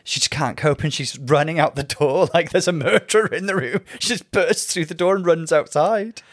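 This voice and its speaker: A man co-hosting a podcast, giving thoughtful, measured opinions on a TV show and laughing often. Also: a little high, no room sound, clean studio sound. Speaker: podcast co-host. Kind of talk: casual chat reviewing a TV show.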